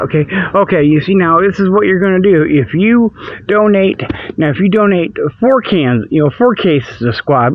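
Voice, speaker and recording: A man's voice: high voice, narrow, tinny audio. A man hosting a radio show in a rambling, folksy, repetitive comic monologue, recorded outdoors on a tablet. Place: outdoors on a walk before dawn. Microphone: budget tablet held in hand.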